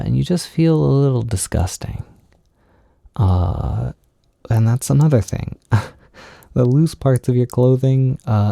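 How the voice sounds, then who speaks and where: A man giving an intimate, informal comfort monologue, speaking in a gentle, reassuring but hesitant and self-deprecating way. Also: medium pitch, gravelly, no echo, clean studio sound. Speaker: audio creator giving comfort and validation.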